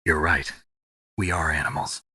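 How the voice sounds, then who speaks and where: An actor playing a gruff, weary war veteran in a video game, stoic and blunt, with a raspy, clipped, deadpan delivery. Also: male voice, extremely low pitch, normal speed, no room sound, studio quality, full character voice. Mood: reflective. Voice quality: very raspy, gravelly, husky. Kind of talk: dramatic video game voice acting.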